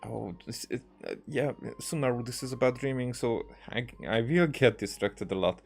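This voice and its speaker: A man giving casual, unscripted game commentary in a halting, rambling way, circling back over his words. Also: medium pitch, gravelly. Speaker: gaming streamer playing and commenting on a game.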